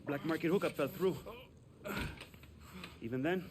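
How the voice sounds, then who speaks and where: Sardonic medic guy, played by a man, slightly high voice, noisy scene. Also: measured pace, natural voice. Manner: sardonic, dry humor. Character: wry underground male field medic.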